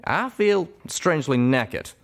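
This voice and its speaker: A man's voice: fairly high pitch, animated, takes it slowly, vocal fry, voice pushed up, full character voice. A man voicing a proud, stubborn cowboy pony gunslinger with folksy, drawling speech in a storybook reading. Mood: grumbling.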